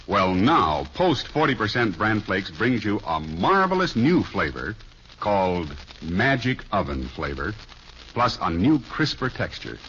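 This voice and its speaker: A man's voice: on the low side, very animated, measured pace, a bit bright. A man reading a scripted commercial as an old-time radio announcer. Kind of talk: 1950s radio advertising read. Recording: dull old radio recording, faint noise.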